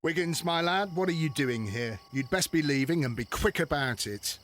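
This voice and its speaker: A man's voice: on the high side, animated, normal speed, fairly bright tone, faint music behind. A man playing a Victorian London police constable in a video game, with a British accent. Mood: scolding. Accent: British English.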